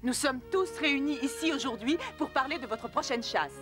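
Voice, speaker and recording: Woman as a high-voiced, commanding she-wolf orator. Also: fairly quick, music in the background, close to an everyday voice. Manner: solemn, oratorical, authoritative. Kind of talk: French cartoon dubbing, formal speech.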